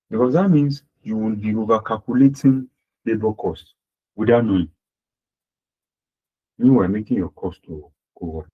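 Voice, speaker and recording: A deep-voiced man with a husky edge teaches accounting over an online call. He repeats his points and asks the class rhetorical questions. The sound is dull and lo-fi. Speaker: accounting lecturer. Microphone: online class audio.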